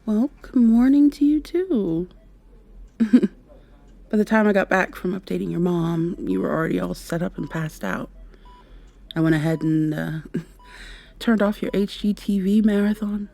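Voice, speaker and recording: A woman playing a devoted, worried partner of a sick loved one, speaking tenderly and haltingly to the listener in an intimate ASMR roleplay. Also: medium pitch, vocal fry, warm tone. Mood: warm, sheepish. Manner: tender, soothing, halting and reassuring.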